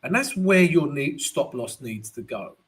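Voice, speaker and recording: A man with a croaky voice, hosting a live trading analysis stream in a chatty way, often calling viewers guys and tagging lines with "right?". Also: fairly high pitch, lively intonation, a little slow, a bit bright.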